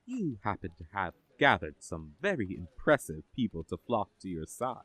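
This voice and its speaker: Actor as a low, measured official, lively intonation. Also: male voice, no room sound. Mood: composed, helpful. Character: composed official in charge of a superhero agency. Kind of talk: scripted audio drama acting.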